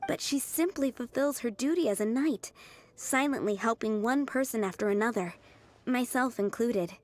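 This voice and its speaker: A woman voicing a kind, earnest young townswoman with a gentle, explanatory manner in a video game. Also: a little high, moderate pace, no room sound, natural voice. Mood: earnest, caring. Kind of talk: game dialogue.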